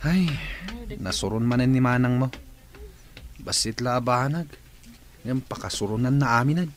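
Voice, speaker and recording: A man's voice: mid-range voice, expressive, moderate pace, lightly acted. A man playing a teasing, easygoing young man in a radio drama.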